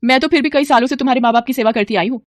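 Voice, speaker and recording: A woman voicing a defiant, sharp-tongued sister-in-law, sarcastic and scolding, in an animated storybook tale. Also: high-pitched, rapid-fire, no echo, studio quality, pitched above the performer's own voice, natural voice. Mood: indignant.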